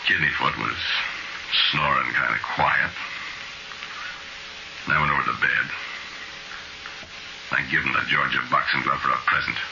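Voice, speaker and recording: A man's voice: very deep voice, fairly even intonation, normal speed, creaky, long pauses, noisy background. An actor playing a shifty small-time crook, telling his story in colloquial slang as 1940s radio noir. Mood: confiding, sly. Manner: colloquial, confiding, ungrammatical. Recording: muffled old radio recording with music.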